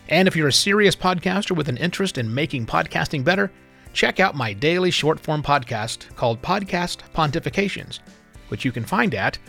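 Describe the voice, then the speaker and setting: A man's voice: fairly high pitch, creaky, fairly bright tone. A man hosting a podcast, delivering scripted announcements straight to the listener. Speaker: podcast host. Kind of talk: scripted podcast outro narration.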